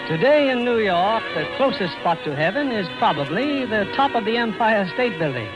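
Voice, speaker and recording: A man's voice: sits high, very animated, normal speed, rough recording. An American man narrating a 1940s radio drama from a script, with a polished, theatrical showman's delivery. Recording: old radio recording with orchestral music.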